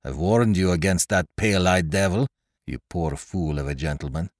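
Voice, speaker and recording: A man's voice: deep voice, normal speed, no echo, clean studio sound, lightly acted. A man voicing a surly, hot-tempered sailor in an audiobook sea adventure, growling and cursing. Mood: dark, sneering.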